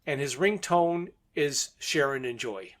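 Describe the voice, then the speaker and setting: A man's voice: medium pitch, animated, unhurried, fairly bright tone. A man co-hosting a podcast, recapping and commenting on a story in an informal, orderly way. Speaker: podcast co-host. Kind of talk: plot recap in casual podcast talk.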